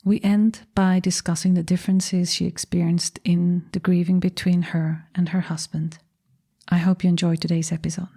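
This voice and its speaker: A woman's voice: lowish voice, little pitch movement, normal speed, mellow, husky. A woman hosting a podcast, speaking in a scripted introduction and interview style. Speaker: podcast host.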